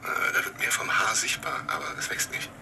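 An actor playing a laid-back man in a naturalistic radio drama, talking in a low-key, hesitant, matter-of-fact way. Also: fairly quick, pushed and bright, slight background noise. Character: laid-back man chatting while he shaves.

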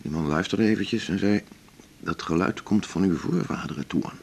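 An actor playing a self-assured, opinionated male doctor with a husky voice and a wry, pontificating manner in a naturalistic radio drama. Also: sits low, normal speed, a little mellow, full character voice. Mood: anecdotal, knowing.

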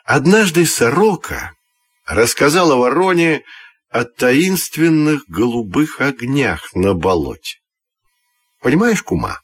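A man narrating a scripted fairy tale for children in an expressive, deliberate storytelling manner. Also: mid-range voice, slightly rough, no room sound.

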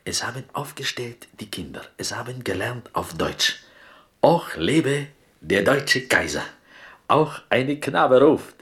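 An actor playing an earnest, excitable Greek prisoner in a radio drama, telling stories insistently in halting, broken speech with a Greek accent. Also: male voice, mid-range voice. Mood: reverent, excited. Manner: halting broken German, insistent, half-whispered storytelling.

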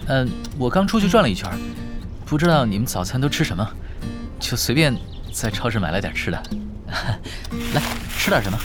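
A man's voice: mid-range voice, very animated, moderate pace, pauses often, close to an everyday voice. Guy playing a young radio drama dude, kinda aloof and stubborn, voice jumps around, music behind him. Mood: easygoing. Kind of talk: Chinese audio drama acting.

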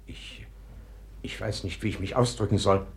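An actor in a 1950s German radio play, playing a pompous, flustered society gentleman with a halting, fussy delivery. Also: lowish voice, steady tone, lightly acted. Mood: flustered, reproachful.

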